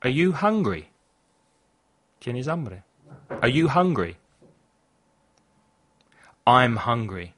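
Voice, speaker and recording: Slow, careful male language teacher. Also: mid-range voice, sing-song, fairly bright tone. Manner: slow, carefully articulated model phrases for repetition. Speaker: English teacher, podcast host. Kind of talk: scripted language lesson with drills.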